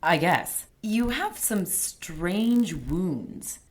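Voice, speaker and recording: Wry, blunt ally, lowish voice. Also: female voice, animated, drawn-out words. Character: wry, sceptical, down-to-earth woman, detective's prickly ally. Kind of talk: radio drama acting.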